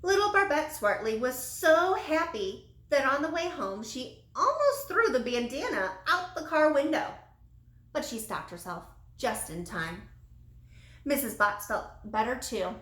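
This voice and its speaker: A woman's voice: sits high, sing-song, a little slow, fairly bright tone. A woman reading a children's story aloud, as a teacher would to young listeners. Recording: echoey room with light background noise.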